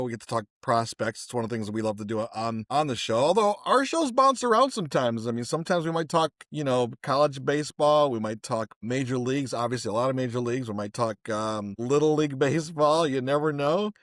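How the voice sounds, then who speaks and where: A man's voice: medium pitch, a little fast, few pauses, fairly bright tone, no room sound. Man, middle-aged, podcast host, chatty, loose, filler-heavy, dry recording. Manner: rambling, friendly, many fillers and restarts. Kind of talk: casual podcast hosting.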